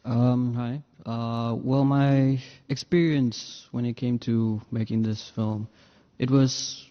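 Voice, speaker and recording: Male actor at a press conference, low even delivery, muffled sound in a reverberant hall. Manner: reflective, unhurried, with pauses. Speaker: actor answering press questions.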